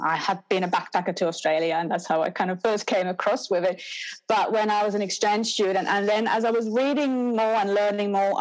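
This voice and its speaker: A middle-aged woman from Finland speaks English with a Finnish accent at a medium pitch. She answers as an academic guest, conversationally, piecing her thoughts together in halting phrases. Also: poor audio.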